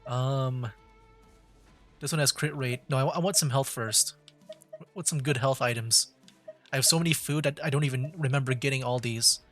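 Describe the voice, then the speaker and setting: A man's voice: mid-range voice, moderate pace, pauses often, fairly bright tone. A man doing casual gameplay commentary as a streamer, rambling and thinking aloud. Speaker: video game streamer. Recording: game music clearly behind the voice.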